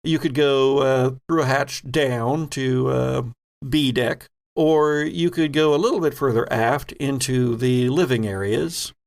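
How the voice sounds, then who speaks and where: A man narrating a tabletop horror role-playing game as game master, setting scenes deliberately with pauses mid-phrase. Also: medium pitch, gravelly.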